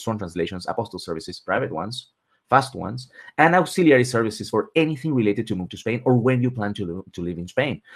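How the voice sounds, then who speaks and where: A man, hosting a webinar and working through lists point by point with pauses between items. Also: lowish voice. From Argentina. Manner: steady, list-like delivery with pauses between items. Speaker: webinar host and relocation consultant. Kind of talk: presenting a webinar.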